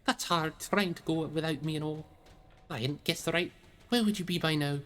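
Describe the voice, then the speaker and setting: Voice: medium pitch, normal speed, fairly bright tone, faint music behind, voice pushed up, lightly acted. A reader voicing a loyal, anxious young male hobbit servant in a storybook, with rustic, pleading, earnest speech. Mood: hurt, earnest.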